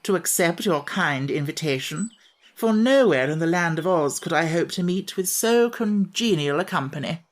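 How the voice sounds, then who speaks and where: A woman's voice: fairly low pitch, lively intonation, moderate pace, lightly acted. A woman voicing a pompous, overly polite male insect who fancies himself a scholar, speaking in elaborate phrases.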